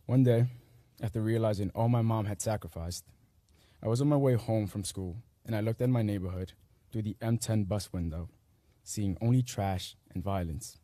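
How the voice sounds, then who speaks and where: A late-teen young man whose first language is Spanish reads his graduation essay from a podium mic. His voice sits low and mellow, and the sound is close and dry. He speaks in earnest, measured phrases with small pauses. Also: dry recording. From Guatemala. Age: late teens. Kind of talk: reading a personal essay aloud at a graduation. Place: school commencement ceremony.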